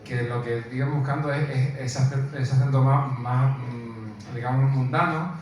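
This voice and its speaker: A man's voice: mid-range voice, fairly even intonation, moderate pace, darkish tone. Hesitant man in a very echoey room. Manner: hesitant, searching for words, repeats himself. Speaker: film screening co-presenter. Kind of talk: informal spoken commentary on a film. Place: indoor room, presenting to an audience. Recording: very echoey room, distant mic, faint noise.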